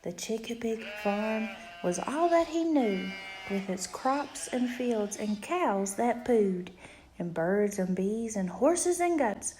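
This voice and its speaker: A woman reads a children's bedtime story aloud. Her voice sits fairly high, with a rhythmic, expressive delivery made for a young listener. There is faint noise behind her. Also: slow pace. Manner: rhythmic, animated read-aloud with emphatic questions.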